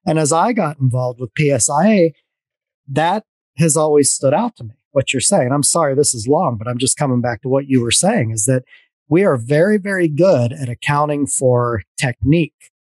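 A middle-aged man hosting a podcast over a video call, telling rambling, animated stories with many restarts. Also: a little high, normal speed, dry recording. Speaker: podcast host. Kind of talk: casual video-call conversation. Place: dim home room. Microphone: headset on a video call.

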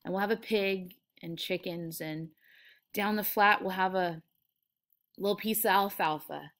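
A tired, protective ranch hand, voiced by a woman reading a storybook. He talks quietly and flatly in terse rural phrases, gruff and subdued. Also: medium pitch, a little slow. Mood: quiet, resigned.

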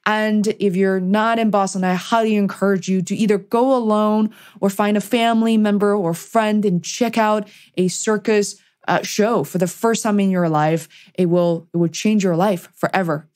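A woman's voice: mid-range voice, fairly even intonation, normal speed, hardly any pauses, darkish tone. Woman podcast host, midrange voice, chatty interview style, encouraging.

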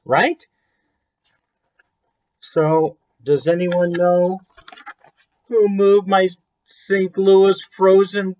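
An American man in his thirties reading a children's book expressively, with chatty asides and questions to the listeners. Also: high-pitched. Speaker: children's author reading own book to viewers. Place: small room at home, indoors.

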